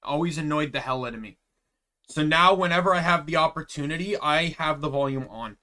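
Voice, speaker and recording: A young man streaming a game, chatting casually in rambling asides with a loud voice. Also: on the high side, a little fast. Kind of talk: casual gameplay chat. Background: game music.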